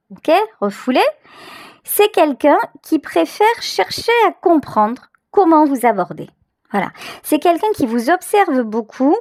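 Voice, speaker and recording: A woman's voice: fairly high pitch, darkish tone, no room sound, clean studio sound. A woman hosting a tarot reading, talking conversationally to the viewer and feeling her way through phrases with emphatic repetitions. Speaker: tarot reader, channel host. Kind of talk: intuitive tarot reading, improvised commentary addressed to the viewer.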